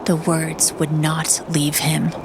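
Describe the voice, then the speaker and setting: A woman reading scripted audiobook narration in a solemn, measured way. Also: low voice, completely flat delivery, background noise.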